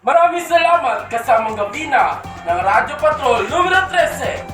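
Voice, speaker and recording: Woman acting a high-voiced radio anchor. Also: sing-song, normal speed. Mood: formal. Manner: formal, courteous. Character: formal radio news anchor handing over to reporters. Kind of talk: parody radio news anchoring. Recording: echoey, distant mic, music behind.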